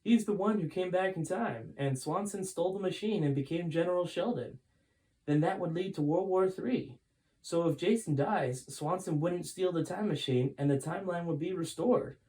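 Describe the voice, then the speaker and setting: A courteous older man, played by a male reader in a storybook, pitched higher. He is frank and cautious, and speaks in a measured, emphatic way. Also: warm tone, natural voice, rough recording. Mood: grave, frank.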